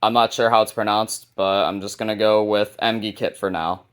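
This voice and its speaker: A man with a fairly low voice presents items from his collection in a casual, unscripted way. His delivery is informal and somewhat halting, like a hobbyist host talking as he goes. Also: steady tone, a bit bright, clean tone. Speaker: hobbyist video host showing a radio collection. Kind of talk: casual unscripted product showcase.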